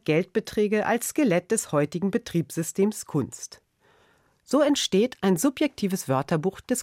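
Woman radio presenter reading a scripted intro, low voice, very lively melody, dry studio sound. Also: no echo.

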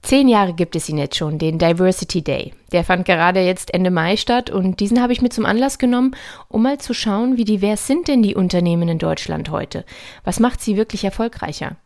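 A female radio presenter with a medium-pitched voice. She narrates a scripted feature and speaks straight to the listener. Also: a little fast, a little mellow.

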